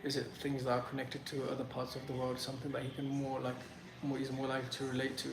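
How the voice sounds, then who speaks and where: A man's voice: mid-range voice, completely flat delivery, fast. A young man whose first language is Pashto, hosting a casual live Q&A from his phone and answering chat questions in a loose, rambling way full of "like" and restarts. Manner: loose and chatty, lots of "like" and restarts. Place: in Medellín, Colombia. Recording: echoey room with clear background noise.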